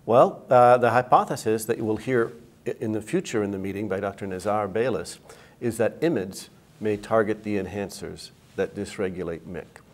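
A man's voice: fairly low pitch, normal speed. A middle-aged man, giving expert answers in a medical panel interview. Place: indoor conference interview set.

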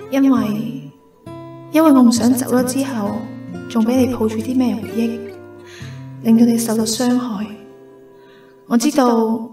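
A woman acting a frail, soft-hearted young woman who hides her love in a radio drama, with a Hong Kong Cantonese accent. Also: fairly high pitch, close to an everyday voice. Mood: sorrowful.

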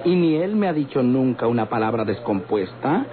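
A man's voice: medium pitch, very animated, normal speed, lightly acted. Tinny radio drama, male actor as coquettish Mexican dandy, affected, touchy, overly polite. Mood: indignant, coy. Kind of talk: old-time radionovela acting. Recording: tinny old radio recording with babble.

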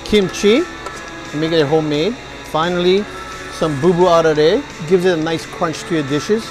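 A chef in his forties talks viewers through his recipes in a restaurant kitchen. His voice sits high, and he keeps an upbeat, hyped-up tone. Faint music plays underneath. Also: moderate pace, rough recording. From Hawaii. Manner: upbeat, hypes the food to viewers. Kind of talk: cooking demonstration.

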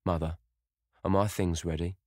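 A man voicing a rough, sullen young lad, blunt and gruff, in a storybook reading. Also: deep voice, flat delivery, moderate pace, no echo, studio quality. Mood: reluctant.

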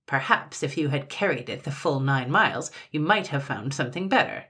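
A woman's voice: a bass voice, animated, a little fast, fairly bright tone, husky, heavily acted. A woman voicing a tiny, hot-tempered male mannikin from a storybook, snapping out haughty commands. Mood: brusque. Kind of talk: storybook character voice.

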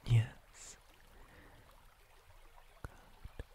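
A man voicing a possessive, menacing spider monster captor in an ASMR roleplay, mostly in a breathy whisper with deliberate, theatrical menace. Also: dark tone, a little hiss or hum. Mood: possessive, threatening.

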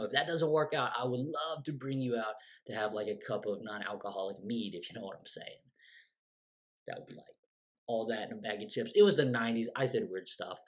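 A playwright in his forties telling a story casually to camera from a room at home, animated and quick to act out his younger self. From United States. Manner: animated, gestures a lot, quotes his younger self with lively shifts in pitch. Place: home room with bookshelves and windows. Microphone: close webcam framing.

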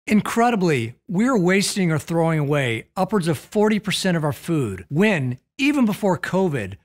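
A middle-aged American man presents a scripted keynote as an executive would. His voice sits a little high and moves with lively intonation. The delivery is measured and emphatic, with a polished corporate tone. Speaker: telecom executive giving a keynote. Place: indoor studio with plain backdrop.

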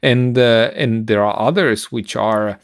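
A middle-aged man explaining science informally as a podcast co-host, halting as he searches for words. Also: medium pitch, dry recording, clean studio sound.

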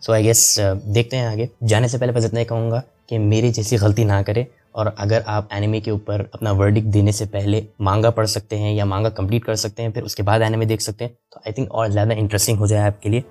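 A man's voice: fairly low pitch, little pitch movement, brisk, few pauses, mellow, soft background music. A young man casually reviewing anime in a voice-over, chatty and full of fillers, switching into English now and then. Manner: chatty, mixes English into Hindi, frequent fillers. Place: voice-over over gameplay footage.

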